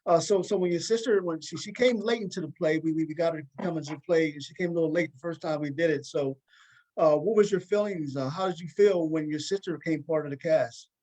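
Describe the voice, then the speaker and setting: Higher-voiced man, halting talk show host. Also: a little mellow, rough recording. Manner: halting, repeats words and rephrases questions. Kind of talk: casual conversational interviewing.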